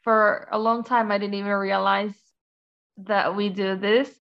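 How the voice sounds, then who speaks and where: A woman's voice: mid-range voice, little pitch movement, unhurried, creaky, smooth, dull audio. A young woman from Finland, a native Finnish speaker, chatting casually in an interview. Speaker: interviewee, university student.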